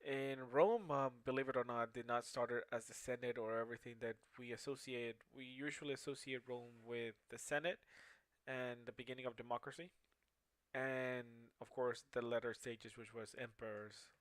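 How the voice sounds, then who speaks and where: A young man whose first language is Spanish gives a homework presentation, stopping often to restart his sentences and filling gaps with hesitant sounds. His voice sits at an ordinary pitch and is recorded dry, with no room sound behind it. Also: fairly even intonation, no room sound. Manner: halting, with many fillers and restarts. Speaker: student presenter. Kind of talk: class presentation.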